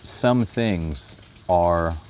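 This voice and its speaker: A man talking in a personal vlog, thoughtful and unhurried, often adding "you know". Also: deep voice, darkish tone, slight background noise. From United States. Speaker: vlogger. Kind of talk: reflective personal vlog talk.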